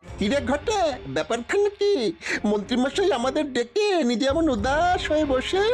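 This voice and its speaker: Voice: high-pitched, big pitch swings, normal speed, a little mellow, faint music behind. An actor voicing a cheeky, scheming cartoon henchman with a wheedling manner.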